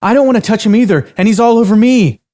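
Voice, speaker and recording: Guy doing a scared young waitress, high loud pleading, soft dark tone. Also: lively intonation, a little fast, lightly acted. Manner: frantic high-pitched shouting. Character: panicked young woman waitress, pinned down.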